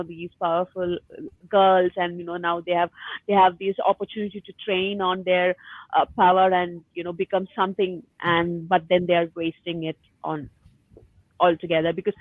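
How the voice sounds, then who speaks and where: A narrow, phone-like recording of a woman hosting a casual book chat. Her voice sits at a middle pitch with little room around it. She stresses points by repeating her words. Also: no room sound.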